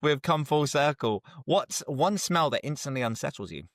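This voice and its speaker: A man hosts a podcast in an easy, conversational way. His pitch moves around in a lively fashion, and the sound is dry and close. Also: normal speed, no room sound. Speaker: podcast host. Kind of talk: casual interview banter.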